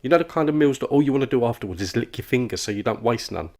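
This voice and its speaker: An actor playing an oblivious, relentlessly chatty office worker in an animated comedy, with a rambling, oversharing manner. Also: male voice, mid-range voice, very fast. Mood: cheerful boasting. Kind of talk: comic animated dialogue.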